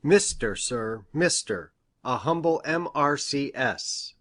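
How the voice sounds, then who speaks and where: Lady doing a fussy eager country doctor guy for an audiobook, low pitched, very up and down, formal and precise. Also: expressive, slow, exaggerated voice. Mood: effusive, fascinated. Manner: precise, formal, earnest, effusive. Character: eager, pedantic, eccentric male country doctor and scientist. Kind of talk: audiobook character voice.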